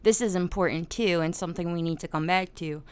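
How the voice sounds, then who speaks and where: A woman's voice: lowish voice, a little fast, clear, resonant tone, no echo. A woman hosting a casual live-streamed discussion, swearing often and laughing as she tells the story. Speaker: podcast host.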